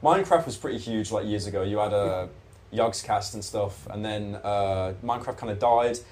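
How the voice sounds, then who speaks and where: A man's voice: fairly low pitch, normal speed, a little mellow. A man in his twenties, a podcast guest chatting casually in a rambling, laid-back way, with a lot of swearing. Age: 20s. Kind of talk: casual chat.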